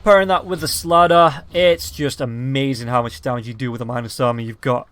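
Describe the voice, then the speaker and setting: A slightly hoarse man calling a match in quick, excited play-by-play commentary. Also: medium pitch. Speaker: esports caster.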